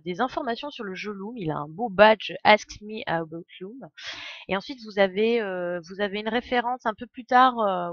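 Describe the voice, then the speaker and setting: A woman commentates a game live in a casual, playful way. Her voice sits at a medium pitch and she drifts into chatty asides. The sound is very dry and a little dull, like a close home stream. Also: no echo.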